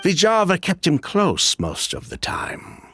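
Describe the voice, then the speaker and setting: Smooth, evasive ex-swindler. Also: male voice, high voice, wildly swooping pitch, measured pace, noisy background, exaggerated voice. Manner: evasive, chatty, with dismissive laughs. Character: smooth middle-aged male ex-perfume swindler. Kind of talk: video game voice acting.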